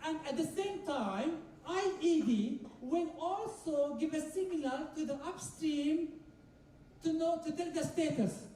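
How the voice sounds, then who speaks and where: A woman's voice: high-pitched, takes it slowly, mellow, a little hiss or hum. A woman giving a technical conference lecture, explaining step by step, repeating phrases and asking the audience questions. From Kuwait. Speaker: conference speaker. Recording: echoey hall, distant mic.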